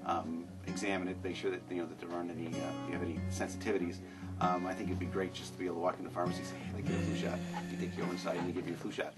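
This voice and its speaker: A man, a pharmacist, explaining in a rushed way full of fillers. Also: low-pitched, loud music behind the voice, rough recording. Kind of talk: interview.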